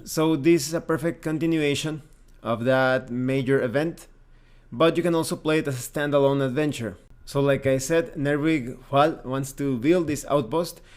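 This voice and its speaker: A man's voice: medium pitch, normal speed. A man presenting a review in an explanatory, methodical way, as the host of a tabletop game channel. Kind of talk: semi-scripted review narration, explanatory.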